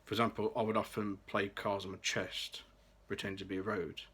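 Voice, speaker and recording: Low-voiced young man, bright tone. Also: little pitch movement, a little fast, breathy edge. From Birmingham, England. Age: late 20s. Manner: careful, read-aloud delivery with occasional restarts. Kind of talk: scripted personal vlog.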